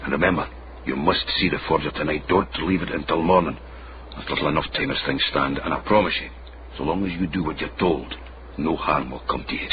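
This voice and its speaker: A man's voice: extremely low pitch, a little fast. An actor playing a sly, scheming Scottish man in a radio drama, theatrical and given to repeating his phrases. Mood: low conspiring. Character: sly scheming Scottish man, blackmailer, mock-outraged and wheedling. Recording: muffled, noisy old radio drama.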